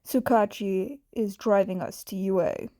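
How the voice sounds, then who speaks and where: Woman voicing a tired, terse man, measured and dry. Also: mid-range voice, dark tone, no echo, pitched below the performer's own voice, lightly acted. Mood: weary.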